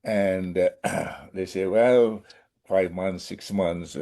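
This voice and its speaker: Deep-voiced man on a video call. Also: steady tone, warm tone. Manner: measured, clears throat. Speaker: student and doctor. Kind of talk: class presentation.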